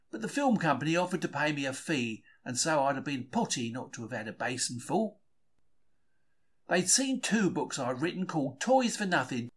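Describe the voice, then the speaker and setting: A man with a British accent reads a diary aloud as scripted first-person narration, telling it in a wry, colloquial way with old-fashioned slang. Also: on the high side. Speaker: reader of a diary for a podcast.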